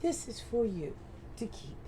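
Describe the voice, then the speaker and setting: A woman doing a lost-in-thought frog-creature craftsman, fussy and kind, pitched a bit up. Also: sing-song, a little slow, mellow, slight background noise. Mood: friendly. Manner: polite, fussy shopkeeper talk. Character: distracted kindly male frog-folk artificer. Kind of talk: tabletop role-play voicing.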